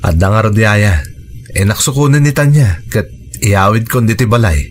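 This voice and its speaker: A man's voice: fairly low pitch, lively intonation, normal speed. A man playing an earnest, reassuring widowed father who is courting, in a radio drama. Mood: matter-of-fact. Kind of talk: Ilocano radio drama acting.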